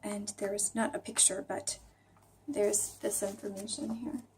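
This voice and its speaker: A woman's voice: mid-range voice, level tone, measured pace, background noise. A woman hosting a casual vlog, chatting reflectively in a hesitant, musing way as she thinks aloud. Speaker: vlog host. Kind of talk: casual reflective chat about reading.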